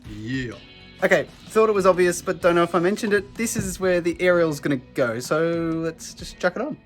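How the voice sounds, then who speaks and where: A man's voice: high-pitched, animated, normal speed, soft background music. A man in his thirties with an Australian accent, casually talking through a do-it-yourself car radio install in an offhand, self-deprecating way. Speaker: electrician vlogger. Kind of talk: casual DIY vlog.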